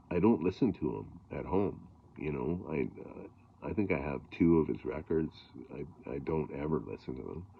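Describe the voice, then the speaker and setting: A middle-aged man with a gravelly voice, talking casually about harmonicas in a rambling way, with lots of fillers and throat clearing. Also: very deep voice, narrow, tinny audio. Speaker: blues harmonica player. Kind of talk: casual talk to camera.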